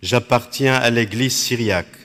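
Slow, reflective priest in a hall. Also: male voice, medium pitch, a bit bright. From Iraq. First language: Aramaic. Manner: measured, contemplative, phrase by phrase. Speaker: Dominican priest. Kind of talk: spiritual reflection.

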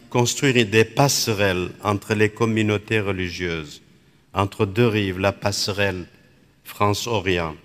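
Slow, reflective priest in a hall. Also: male voice, mid-range voice, a bit bright. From Iraq. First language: Aramaic. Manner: measured, contemplative, phrase by phrase. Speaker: Dominican priest. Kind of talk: spiritual reflection.

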